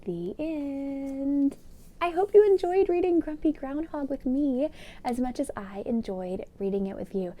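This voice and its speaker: A woman's voice: high-pitched, measured pace, warm tone. A woman hosting a children's read-aloud, telling the story expressively and putting questions to young listeners. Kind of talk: storybook narration with asides to children.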